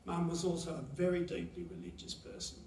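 A man gives a halting, pause-filled eulogy for his mother at a chapel lectern. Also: on the high side, vocal fry, darkish tone, a little hiss or hum. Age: 70s. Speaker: son giving a eulogy. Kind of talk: memorial eulogy. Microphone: lectern mic, heard from a far camera. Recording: roomy chapel echo from far off.

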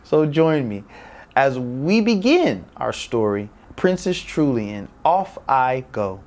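A man's voice: medium pitch, very animated, slow, a little mellow. A man in his thirties presenting a story to young children with upbeat, smiling expression, on a lapel mic. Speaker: children's read-aloud host.

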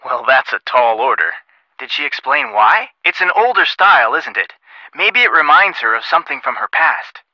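An actor playing an easygoing, sensible young American detective in a video game, talking in a wry, conversational way. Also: male voice, mid-range voice, sing-song, moderate pace, pushed and bright, dry recording, lo-fi sound, heavily acted. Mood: thoughtful. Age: young adult. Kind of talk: video game phone dialogue.

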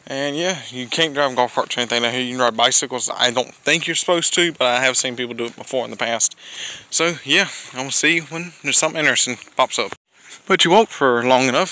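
Bright-voiced rambling vlogger man. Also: on the high side. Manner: rambling, corrects himself often. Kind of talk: casual walking tour narration. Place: outdoors at a lake park boardwalk.

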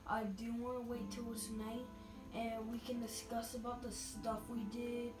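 A distant, echoey recording with music playing behind a woman narrating a video game. Her voice sits fairly high and is slightly scratchy from a recent illness. She comments casually and haltingly, letting thoughts trail off. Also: little pitch movement. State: getting over being sick, voice scratchy. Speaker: gamer narrating gameplay.

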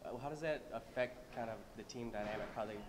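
A man's voice: mid-range voice, fast talker. A man working as a reporter, asking wordy questions at a press conference. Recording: loud room noise.

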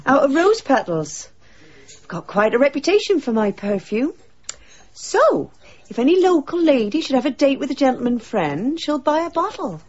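A woman's voice: high-pitched, very animated, moderate pace, lo-fi sound. An actress playing a saucy, gossipy village woman in a radio drama, with a teasing, knowing, colloquial way of talking. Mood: amused, proud.

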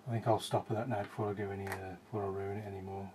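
Man quietly thinking aloud while painting. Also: lowish voice, rapid-fire, a little hiss or hum. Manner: relaxed, thinking aloud, often trailing off quietly. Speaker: watercolour painting instructor. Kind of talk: casual painting demonstration. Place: small indoor room with bookshelves.

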